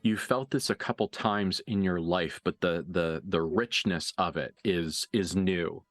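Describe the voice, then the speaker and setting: A man working as game master, improvising narration for a tabletop roleplaying game with deliberate, halting phrasing and dramatic emphasis. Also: deep voice, a bit bright, no echo. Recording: dry voice over background music.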